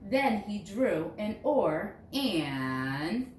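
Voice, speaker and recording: In a roomy, echoing space, a woman a little away from the mic reads a children's storybook aloud. Her pitch moves in lively swings as she stresses key words, and she takes her time over each line. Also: very slow. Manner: expressive, dramatizing key words for young listeners. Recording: roomy echo, distant mic, faint noise.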